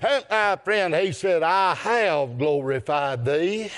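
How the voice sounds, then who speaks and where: A man's voice: fairly high pitch, slow, fairly bright tone. A man with a Southern US accent preaching a fervent evangelical sermon in short chanted phrases, with repeated refrains and gasped breaths.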